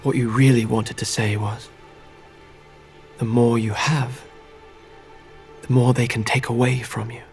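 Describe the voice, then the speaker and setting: A man's voice: medium pitch, flat delivery, measured pace, long pauses, soft background music, lightly acted. An actor playing a subdued, brooding man in an audio drama, restrained and terse.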